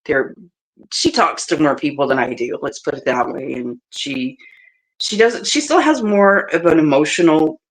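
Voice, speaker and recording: A woman's voice: low voice, lively intonation, moderate pace, poor audio. A woman with a nasal, congested voice and a cough, chatting casually and bluntly with swearing as a livestream co-host. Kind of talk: casual chat.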